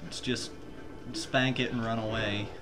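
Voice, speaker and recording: A man's voice: mid-range voice, level tone, unhurried, music in the background. A man giving sarcastic, casual commentary on a video game among friends, with some swearing. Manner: sarcastic, profane. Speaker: video game let's player. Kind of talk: casual joking group gameplay commentary.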